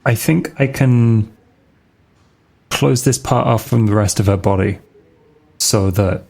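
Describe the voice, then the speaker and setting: A man's voice: on the low side, takes it slowly, gravelly, darkish tone. A man playing in a tabletop roleplaying game, speaking casually in and out of character as he thinks aloud. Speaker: player in a tabletop RPG.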